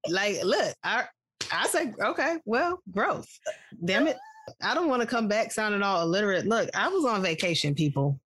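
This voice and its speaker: A woman's voice: medium pitch, animated, moderate pace, a bit bright, dry recording. A woman co-hosting a casual podcast, chatting in a playful, self-mocking way. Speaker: podcast co-host. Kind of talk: casual chat.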